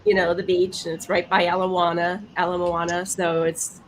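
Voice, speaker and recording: A woman's voice: on the low side, flat delivery, moderate pace, slight background noise, rough recording. A woman in casual panel chat, speaking emphatically and often repeating herself for weight.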